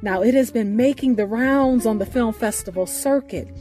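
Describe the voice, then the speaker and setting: High-pitched woman hosting radio over music. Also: moderate pace, dark tone. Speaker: radio show host. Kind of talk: scripted radio show introduction. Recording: music bed behind the voice.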